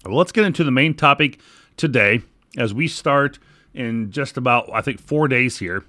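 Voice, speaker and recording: A man's voice: mid-range voice, animated, normal speed, sharp tone, dry recording, studio quality. An American man hosting a podcast, moving between read news items, sponsor reads and informal remarks. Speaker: podcast host.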